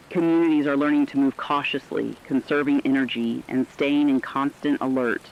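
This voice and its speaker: A man playing an earnest radio announcer, reading a scripted emergency broadcast in a measured manner. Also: mid-range voice, lightly acted. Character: earnest male radio announcer warning survivors of catastrophe. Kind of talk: scripted fictional radio news narration. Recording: dull lo-fi sound, rain-like noise.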